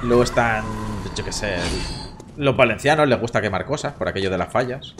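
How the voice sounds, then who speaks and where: A man's voice: mid-range voice, fairly quick, background noise. A man in his thirties from Barcelona with a Spanish accent, chatting casually and swearing while streaming a game from a room at home. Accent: Castilian Spanish from Barcelona. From Catalonia, Spain. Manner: chatty, swearing, joking with chat. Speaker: gaming streamer. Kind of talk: casual live gameplay commentary.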